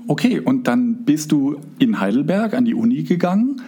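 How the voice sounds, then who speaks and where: Husky-voiced male interviewer. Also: a little high, big pitch swings, normal speed, mellow. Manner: summarizing, prompting questions. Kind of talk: conversational interview.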